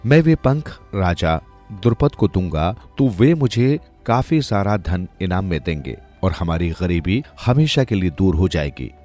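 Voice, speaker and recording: A man's voice: sits low, lively intonation, unhurried, a little mellow, faint music behind. A man voicing a kind, eager young peasant son in a storybook tale. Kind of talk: storybook character dialogue.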